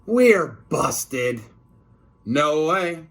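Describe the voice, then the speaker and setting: A man voicing a mischievous, excitable schoolboy in a children's storybook, exclaiming and protesting. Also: on the high side, very slow, vocal fry, pitched above the performer's own voice, exaggerated voice.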